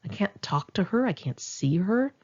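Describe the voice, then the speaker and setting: Female author narrating her own memoir audiobook; conversational, animated intonation, slightly lo-fi sound. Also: fairly low pitch, normal speed. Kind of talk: first-person memoir narration, audiobook read in one take.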